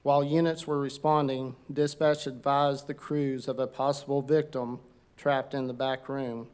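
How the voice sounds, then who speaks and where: A man, a fire captain, reading a formal incident report at a council meeting, steady with some halting fillers. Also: mid-range voice, smooth. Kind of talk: formal prepared speech. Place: council chamber.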